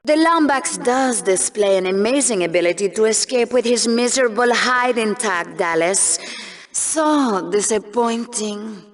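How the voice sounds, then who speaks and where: A woman's voice: a little high, measured pace, natural voice. A woman playing a spiteful, sarcastic co-anchor in a video game's deadly game show, delivering polished mock news commentary with contempt. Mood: sardonic. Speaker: broadcast co-anchor.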